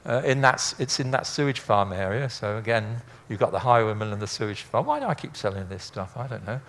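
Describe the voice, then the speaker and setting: A man's voice: fairly low pitch, lively intonation, a little fast, a bit bright. An older man giving an informal illustrated lecture in a chatty, rambling, anecdotal way with dry humour. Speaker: speaker, former air traffic controller.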